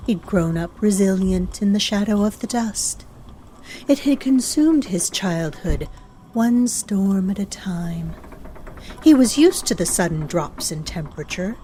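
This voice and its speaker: A woman reading a scripted horror story as narrator, in a measured, ominous way. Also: mid-range voice, expressive, warm tone, a little hiss or hum, rough recording.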